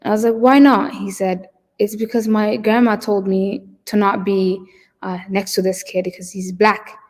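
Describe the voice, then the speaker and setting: A woman's voice: medium pitch, flat delivery, normal speed, darkish tone. Hesitant woman in echoing hall. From Somalia. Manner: hesitant, many fillers and restarts. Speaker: keynote storyteller. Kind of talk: personal storytelling. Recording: long hall reverb.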